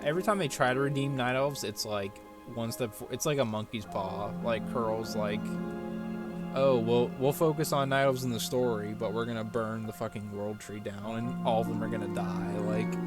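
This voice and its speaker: A man giving casual, ranting gameplay commentary as a streamer, exasperated and sweary. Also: medium pitch. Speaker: game streamer. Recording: game music behind the voice.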